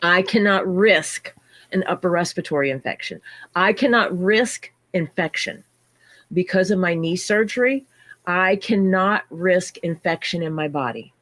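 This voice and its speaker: A woman's voice: on the low side, a little slow, fairly bright tone, no room sound. A woman with a scratchy voice from illness, hosting a casual livestream chat and greeting viewers by name as she talks. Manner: chatty, greets viewers by name mid-story. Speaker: livestream host.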